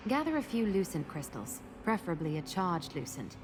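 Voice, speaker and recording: A woman's voice: lowish voice, very animated, normal speed, noisy background. A woman voicing a practical, thoughtful adventuring companion in a video game, explaining things conversationally. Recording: loud music behind.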